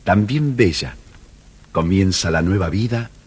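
A man narrating a children's storybook record in a measured storytelling voice. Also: low voice, lively intonation.

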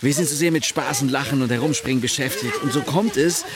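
An actor playing a wry, affectionate middle-aged husband who narrates a radio play in the first person. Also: male voice, mid-range voice, fast, a loud crowd, close to an everyday voice. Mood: elated.

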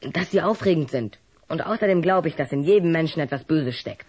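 A man's voice: fairly high pitch, animated, fairly quick, darkish tone, breathy edge. A young male actor playing a wary, cheeky schoolboy in an audio drama, guarded and defiant. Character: wary, cheeky schoolboy who loves scary books.

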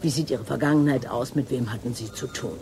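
An actress playing a brisk, commanding female police inspector in a German radio drama, matter-of-fact and determined. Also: extremely low pitch, darkish tone, slight background noise.

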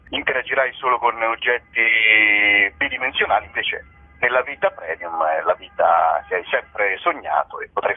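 A man in a casual interview as a theatre comedian, talking in a hesitant, wry, rambling way. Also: lowish voice. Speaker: theatre comedian and writer presenting a show. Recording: narrow-band telephone line, radio interview.

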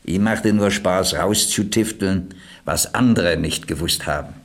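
An actor playing a plain-spoken boiler stoker who reminisces in a folksy, reflective way in a radio crime drama. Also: male voice, low-pitched, a little slow. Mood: explaining, admiring. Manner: folksy, ruminative storytelling.

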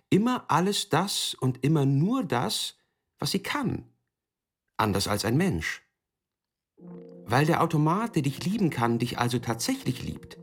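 A man playing an explainer in a literary radio play, emphatic and didactic, addressing the listener directly in a husky voice. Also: mid-range voice, heavily acted. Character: male explainer speaking for a loving automaton.

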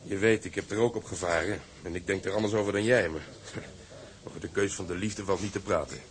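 Frank seasoned sailor, acted by a man, low voice. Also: brisk, close to an everyday voice. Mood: reflective. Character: seasoned, frank, resigned sailor, the mate's drinking companion. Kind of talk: Dutch radio drama acting.

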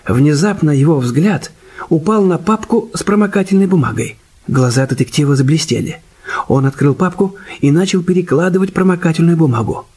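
An actor playing a composed, observant detective with a husky voice in a radio play, also narrating. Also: male voice, fairly high pitch, lively intonation, a little fast, a little mellow. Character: composed, observant male detective, sparing with words.

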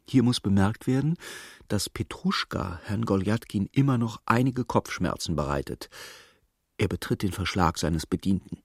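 A man narrating a literary radio drama as a measured, detached storyteller with dry irony. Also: lowish voice, no echo.

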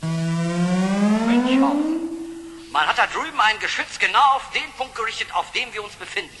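Urgent, high-voiced military governor. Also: male voice, expressive, normal speed, pushed and bright. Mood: urgent alarm. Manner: urgent, emphatic. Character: alarmed British governor, a military lord. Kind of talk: German audio drama dialogue. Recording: echoey hall reverb, music behind.

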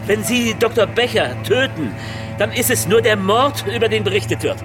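An actor in a German radio drama playing a male police detective who talks in an urgent, calming, persuasive way. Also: high-pitched, moderate pace, music in the background. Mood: urgent, placating.

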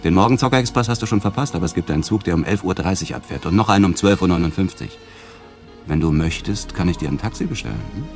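Deep-voiced, fast-talking affable tycoon. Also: male voice, soft background music. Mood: casual, persuasive. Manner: casual, teasing, evasive. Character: smooth, evasive male business tycoon, affable, playfully charming. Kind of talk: radio drama acting.

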